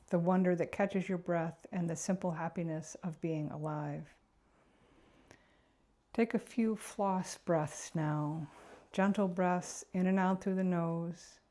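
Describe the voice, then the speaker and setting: A woman narrating a guided meditation in a gentle, unhurried, reflective way. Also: lowish voice.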